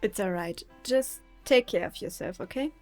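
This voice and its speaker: A woman playing a caring, soft-hearted young woman in an audio drama, speaking reassuringly. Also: mid-range voice, normal speed, faint music behind, close to an everyday voice.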